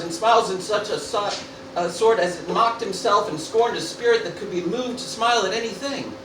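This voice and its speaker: Self-important, wary Roman ruler for a comic parody, acted by a man, high voice, distant mic in a noisy room. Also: reverberant. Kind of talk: amateur comedic Shakespeare parody performance.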